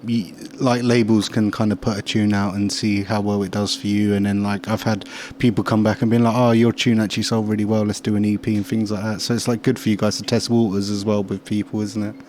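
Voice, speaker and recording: A man's voice: on the low side, flat delivery, brisk, hardly any pauses, a little mellow. A man hosting a show in a casual, conversational way. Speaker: show host and music producer. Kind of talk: casual chat. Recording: faint background noise.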